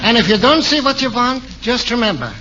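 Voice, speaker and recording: An actor playing a wisecracking middle-aged furniture shopkeeper in a 1940s radio comedy. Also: male voice, very high voice, very animated, a little fast. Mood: joking. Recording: dull, hissy 1940s radio recording.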